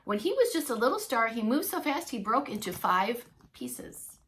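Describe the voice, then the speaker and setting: A woman's voice: on the high side, sing-song, moderate pace, a bit bright. A woman voicing a big, wise, patient grandmother sea star who advises and cautions, in a storybook. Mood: storytelling. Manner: instructive, cautioning.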